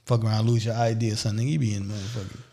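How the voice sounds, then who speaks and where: A man's voice: lowish voice, little pitch movement, very fast, gravelly, warm tone. A man hosting a podcast in casual, slangy chat, often repeating his phrases and checking that he is understood. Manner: slangy, repetitive, leaning on "you know what I'm saying". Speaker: podcast host.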